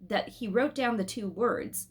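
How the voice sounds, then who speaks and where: A woman's voice: fairly high pitch, unhurried. A woman reading a story aloud expressively, in an informal way, with little asides to her listeners. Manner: animated, addresses listeners with asides.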